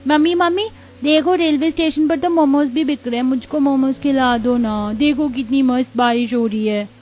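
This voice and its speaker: A woman's voice: high voice, fairly even intonation, moderate pace, a little mellow, close to an everyday voice. A woman voicing a small, hungry, pleading child in a cartoon story, with faint music behind. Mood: excited begging.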